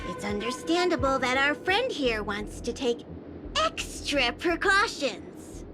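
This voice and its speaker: A cold, scheming gang boss speaks slowly and with mock politeness, her high voice swinging wide in pitch. It is game cutscene audio with clear music underneath. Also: big pitch swings, exaggerated voice. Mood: smug menace.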